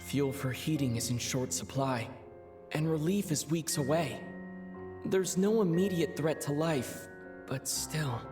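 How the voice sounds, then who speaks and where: A brooding young male warrior, played by an actor, with a slightly high voice. He is earnest, thoughtful and understated in his game dialogue, with clear music behind him. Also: unhurried, natural voice. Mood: pensive, concerned. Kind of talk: video game voice acting.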